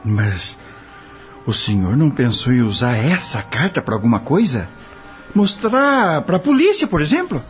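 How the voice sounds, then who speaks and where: A man playing an insistent, plain-spoken farm labourer with a husky voice in a Brazilian audio drama. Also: medium pitch, very animated, unhurried. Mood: curious, startled. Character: curious, impatient male farm labourer with a grievance. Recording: dull lo-fi audio drama with music.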